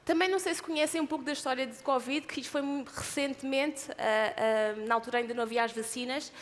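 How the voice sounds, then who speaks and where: A high-pitched woman with a European Portuguese accent tells her own story as an athlete on a stage. She talks earnestly, pausing and restarting her sentences often, and her voice rings with the long reverb of a large hall. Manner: earnest, with frequent hesitations and restarts. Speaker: athlete giving a talk. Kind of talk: personal motivational talk.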